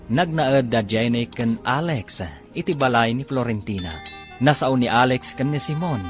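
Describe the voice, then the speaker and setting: A man with a Filipino accent narrating and announcing a radio drama in a theatrical, scripted style. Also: medium pitch. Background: music under the voice.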